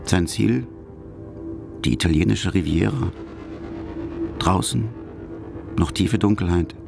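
Gravelly low male documentary narrator. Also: slow pace, over music.

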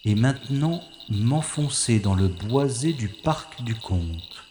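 A man's voice: mid-range voice, expressive, slow pace, reverberant, background noise. A man voicing a wry, self-mocking secret agent who narrates his own mission in an audio drama. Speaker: first-person narrator-hero. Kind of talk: audio drama inner monologue.

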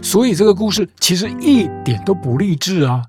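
Woman, scripted storyteller, lecturing tone, low voice, music playing behind. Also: big pitch swings, brisk. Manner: lecturing, argumentative, tags points with rhetorical questions. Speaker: storyteller host. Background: background music.

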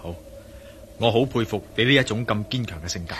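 An actor playing an earnest, preoccupied adventurer and investigator in a Cantonese radio drama, with a Hong Kong accent. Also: male voice, lowish voice, fairly quick, a bit bright, a little hiss or hum, natural voice. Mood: guarded, considerate.

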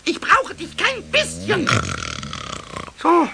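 An actor playing a cheeky, stubborn little kobold in a children's radio play, childlike and boastful, whiny and excitable, repeating words for emphasis. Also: male voice, extremely high pitch, takes it slowly, vocal fry, heavily acted. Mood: defiant, boastful. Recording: noisy 1970s radio play recording.

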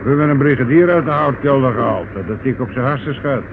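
A male actor playing a folksy, chatty rescuer in a radio drama, with a gravelly voice. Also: mid-range voice, fast talker, natural voice. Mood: surprised, chatty. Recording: noisy, tinny old radio drama recording.

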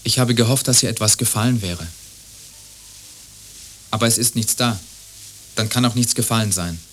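A man's voice: medium pitch, normal speed, fairly bright tone, soft background music, lightly acted. An actor as earnest, rambling lonely neighbour man. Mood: wistful. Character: lonely, helpful neighbour who loves mending broken things. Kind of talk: radio play monologue acting.

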